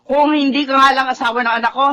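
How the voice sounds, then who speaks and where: A woman's voice: sits high, fairly even intonation, fast, sharp tone, a little hiss or hum. An actress playing a scornful middle-aged mother-in-law, scolding and belittling in a melodrama. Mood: contemptuous. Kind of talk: Filipino melodrama acting.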